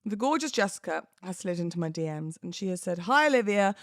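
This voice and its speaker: A British woman hosting a podcast solo, talking in a casual, cheeky, expressive and informal way. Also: lowish voice, fast talker, no echo, studio quality. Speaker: podcast host.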